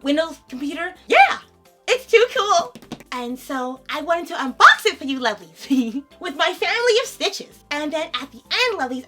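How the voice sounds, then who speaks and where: Young woman vlogger, high bright voice, bubbly and giggly, slightly raspy from a sore throat. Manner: bubbly, giggly, calls viewers "lovelies". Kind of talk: casual unboxing vlog.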